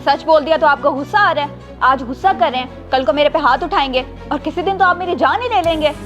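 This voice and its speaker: A woman's voice: high voice, fast, a bit bright, highs cut off, faint music behind. A woman acting an impulsive, easily swayed young wife in a moral-story drama. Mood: upset, angry.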